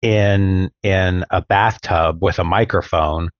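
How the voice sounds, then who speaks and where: Low-pitched man, thoughtful hesitant talk, slightly dull lo-fi sound, podcast co-host. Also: fairly even intonation, slow pace, dry recording. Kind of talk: casual podcast chat.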